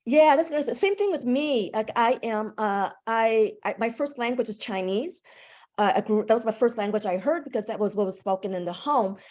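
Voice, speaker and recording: A woman's voice: a little high, expressive, moderate pace, darkish tone. Woman teacher leading an online class. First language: English. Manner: conversational, thoughtful, with a few restarts. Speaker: language instructor. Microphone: video call.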